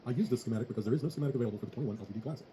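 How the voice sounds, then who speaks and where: A man's voice: mid-range voice, rapid-fire, crowd noise. A man narrating a scripted technical tutorial in a formal, methodical way. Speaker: tutorial presenter.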